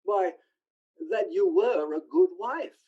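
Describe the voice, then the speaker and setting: An actor playing a poor, gentle clerk and grieving father in a radio play, with halting, emotional delivery. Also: high voice, expressive, breathy edge, exaggerated voice. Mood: fond, cheerful. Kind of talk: stage-style radio play acting. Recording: slightly dull lo-fi recording.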